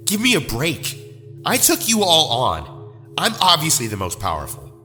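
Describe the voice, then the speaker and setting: An actor playing a proud young superhero rival in an audio drama, cocky and confrontational. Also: male voice, fairly high pitch, huge pitch swings, moderate pace, bright, forceful tone, in a large hall, faint music behind, exaggerated voice. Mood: defiant.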